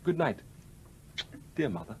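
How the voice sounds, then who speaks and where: A man's voice: medium pitch, very animated, measured pace, warm tone, slight background noise. An actor playing a smug, self-centred young man in a radio play, a pompous and wheedling son who patronises his mother. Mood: wounded, sanctimonious. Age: young adult. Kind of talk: British radio play acting.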